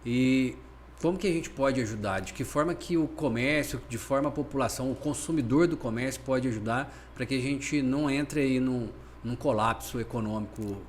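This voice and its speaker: A man hosting a podcast interview, asking questions in a halting way with restarts. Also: medium pitch, a little hiss or hum. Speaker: podcast host.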